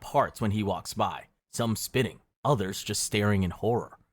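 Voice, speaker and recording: A man with a fairly low voice narrates a scripted history story addressed to the listener. His delivery is darkly wry and dramatic, and the sound is dry and close. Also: moderate pace, no room sound. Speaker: history explainer video narrator. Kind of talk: scripted second-person narration.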